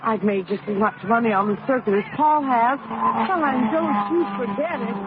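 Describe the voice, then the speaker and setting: A woman's voice: a little high, animated, moderate pace. An actress playing a confident young wife and mother who races cars, in a radio drama with some first-person narration. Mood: confident, matter-of-fact. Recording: noisy, tinny old radio broadcast.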